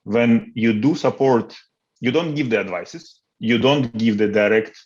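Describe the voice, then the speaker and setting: A man's voice: medium pitch, measured pace, muffled recording. A man in his forties with a Ukrainian accent, answering interview questions in an earnest way and often repeating words as he looks for the next one. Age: 40s. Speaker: interviewee, coach. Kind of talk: interview over video call.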